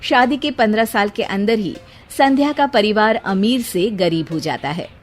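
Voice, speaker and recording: A woman's voice: mid-range voice, moderate pace. A woman reading scripted narration for a moral cartoon story as the storyteller. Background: music.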